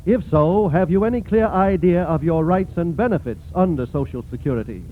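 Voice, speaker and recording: A man's voice: on the high side, fairly quick, slight background noise. An American man making formal, declamatory announcements in a polished 1940s radio style. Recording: tinny 1940s radio broadcast, loud music.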